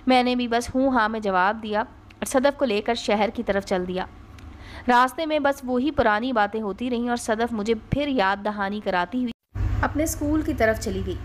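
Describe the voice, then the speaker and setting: A woman's voice: a little high, a little hiss or hum. A woman reading a scripted first-person story as its narrator.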